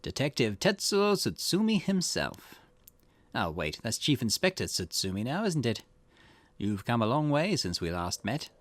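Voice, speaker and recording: A man's voice: mid-range voice, sing-song, normal speed, dry recording. A man voicing a smug ex-convict murderer who taunts in a mocking, theatrical way for a mystery game. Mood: mocking glee.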